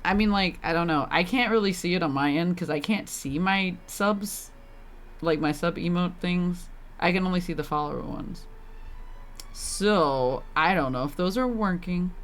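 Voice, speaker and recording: A woman's voice: fairly low pitch, moderate pace. A young woman livestreaming a game in casual chat, with a playful, sarcastic manner. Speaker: game streamer.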